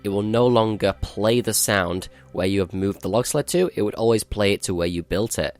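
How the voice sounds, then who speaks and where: A man's voice: fairly low pitch, normal speed, a little mellow, faint music behind. A male gaming channel host giving a matter-of-fact, explanatory walkthrough of a game update.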